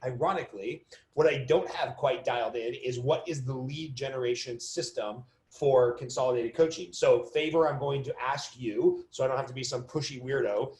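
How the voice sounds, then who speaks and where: A man's voice: mid-range voice, darkish tone. A man leading an informal motivational coaching call for an online audience, conversational and emphatic, repeating key phrases for stress. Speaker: business coach hosting a mortgage coaching call. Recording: roomy, echoing sound.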